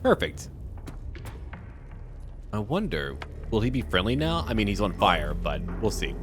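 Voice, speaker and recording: A man's voice: on the low side, very animated, measured pace, over music. A man doing casual video game commentary, thinking aloud and talking to his viewers.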